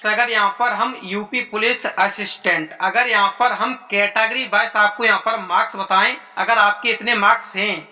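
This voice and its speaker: A man's voice: sits high, fairly even intonation, moderate pace, hardly any pauses, bright, forceful tone. A man giving an informal explainer to students as an exam-prep presenter, in repetitive, formulaic phrasing.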